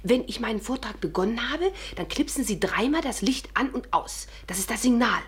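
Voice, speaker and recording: A secretive, resolute woman conspirator in a 1950s radio play. She hurries out her instructions in a very breathy, half-whispered voice. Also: a little high, lively intonation, brisk. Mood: urgent, secretive. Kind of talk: 1950s German radio drama acting.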